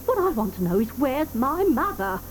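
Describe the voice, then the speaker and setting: A woman's voice: high-pitched, sing-song, moderate pace, slight background noise. An actress playing a cranky, bewildered mother in an audio drama, speaking in a querulous, complaining way. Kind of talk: 1980s audio drama acting.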